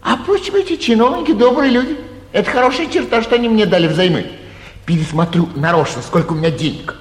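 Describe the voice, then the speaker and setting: Cocky young gent, really high lively voice, echoey old theatre radio play. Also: male voice, fast. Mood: gleeful, boastful. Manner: breezy, careless, self-satisfied. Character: boastful, flighty young gentleman mistaken for an official. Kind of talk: theatrical 1940s stage comedy acting.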